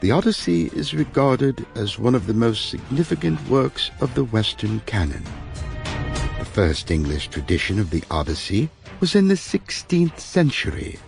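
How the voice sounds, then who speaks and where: A man's voice: medium pitch, sing-song, normal speed, gravelly, soft background music. A man reading a scripted literary introduction as an audiobook narrator.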